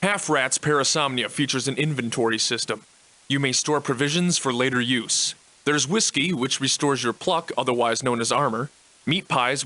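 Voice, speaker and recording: A man's voice: mid-range voice, sharp tone. A man voicing a pompous, eccentric Victorian-style instructor in a video game, cheerfully insulting and florid in his old-fashioned phrasing. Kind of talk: theatrical video game tutorial narration.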